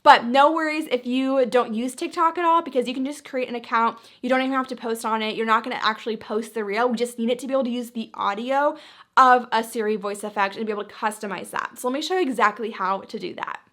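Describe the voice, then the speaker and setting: A woman's voice: a little high, fast talker, a bit bright. A woman hosting a social media tutorial, talking casually and chattily through each step.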